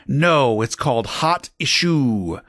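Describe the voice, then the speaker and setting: A man's voice: mid-range voice, expressive, takes it slowly, a bit bright, no room sound, studio quality. Man acting a moody teenage goth boy, slightly raised voice. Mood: corrective.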